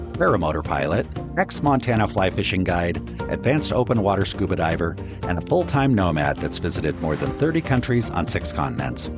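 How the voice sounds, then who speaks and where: An upbeat male podcast host with a low voice and lively swings in pitch. He speaks with enthusiasm, and music plays clearly beneath him. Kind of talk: scripted intro, then casual interview.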